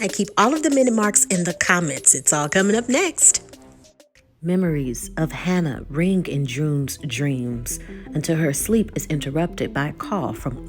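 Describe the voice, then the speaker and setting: Woman, deep low register, scripted recap narration, video host, prominent background music. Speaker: YouTube host recapping a TV episode.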